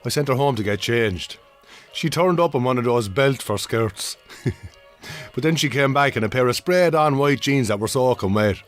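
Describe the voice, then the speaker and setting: A man's voice: mid-range voice, lively intonation, moderate pace, faint music behind, close to an everyday voice. An actor playing a breezy, tactless Irish farmer who gets defensive with his wife, in a naturalistic radio drama. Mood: jokey, defensive. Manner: jokey, blundering, defensive.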